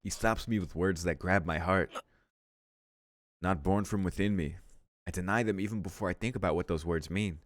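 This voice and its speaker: Low-voiced man reading a story aloud. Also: moderate pace, dry recording, clean studio sound. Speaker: let's play host reading aloud. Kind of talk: dramatic reading of visual novel narration.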